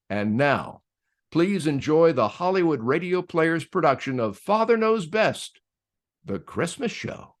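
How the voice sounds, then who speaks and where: A man presenting a scripted introduction in a formal, measured announcer style. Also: fairly high pitch.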